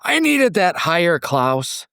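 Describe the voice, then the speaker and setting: A man's voice: high-pitched, sing-song, slow, creaky, fairly bright tone, clean tone, dry recording, clean studio sound, pitched above the performer's own voice, heavily acted. A man playing a desperate, bitter small machine shop owner in an audio story, raw and pleading. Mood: desperate, accusing.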